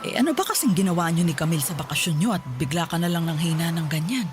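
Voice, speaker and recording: A woman's voice: lowish voice, animated, quick pace, over music, lightly acted. A woman playing a worried middle-aged mother asking questions in a Tagalog radio drama. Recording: some noise behind the voice.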